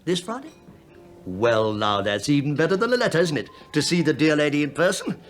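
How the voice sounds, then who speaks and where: An actor playing a sly, penny-pinching British police inspector in a radio play, wry and mock-deferential, feigning absent-mindedness. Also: male voice, on the high side, very animated, brisk, faint music behind. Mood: sly mock politeness.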